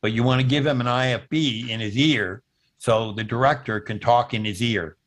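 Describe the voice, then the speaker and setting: Older man in his seventies, technical explainer, halting phrases, mid-range pitch, video call. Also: fairly even intonation, a bit bright. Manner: halting, pausing mid-sentence while explaining. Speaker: presenter demonstrating intercom software. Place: indoor room at home, on a video call.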